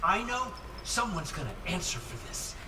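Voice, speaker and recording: A man acting a weary, grimly determined spaceship engineer in a horror game, terse and breathy. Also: sits high, big pitch swings, normal speed, very noisy. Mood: frustrated. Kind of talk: video game cutscene acting. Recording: distant, loud game music behind voice.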